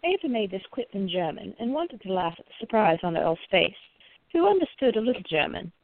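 A woman's voice: mid-range voice, very animated, fairly quick, vocal fry, no echo, phone-quality sound. A woman reading scripted narration for a radio play.

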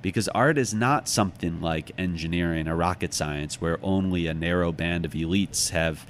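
An American man with a very low voice talks as an interview guest. He explains in a reflective, conversational way. There is clear noise behind him. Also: normal speed. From Chicago, United States. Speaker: interview guest, author and former museum guard. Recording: clearly noisy background.

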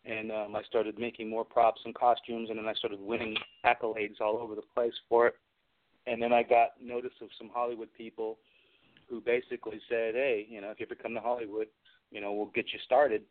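A man's voice: on the low side, level tone, a little fast. A man chatting casually as an interview guest, telling rambling, slangy anecdotes about his own working life. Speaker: guest, film special effects and prop maker. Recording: phone-like narrow-band radio call-in audio.

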